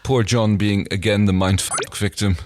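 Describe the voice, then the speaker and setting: A man co-hosting a podcast, casually retelling a TV episode in a halting way as he searches for words. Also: on the low side, fairly even intonation, very noisy. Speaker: podcast co-host. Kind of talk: casual episode recap chat.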